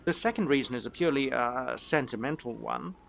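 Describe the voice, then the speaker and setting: Man, gaming commentator, wry and dry, gets loud in fights, gravelly, game noise behind. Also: mid-range voice, animated, fairly quick. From South Africa. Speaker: gaming YouTuber. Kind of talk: casual gameplay commentary.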